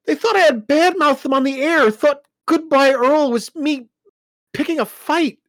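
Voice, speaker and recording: Storybook figure: female reader as a guilt-ridden wounded young man, halting and tearful, pitched high. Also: animated, fairly bright tone, husky, no room sound, heavily acted. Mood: distraught. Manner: halting, breaking voice. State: crying, in pain.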